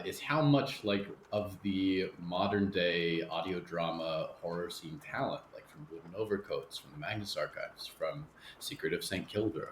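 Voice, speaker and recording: A man hosting a podcast interview in a conversational way, putting questions to guests. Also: sits low. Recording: echoey room with faint background noise.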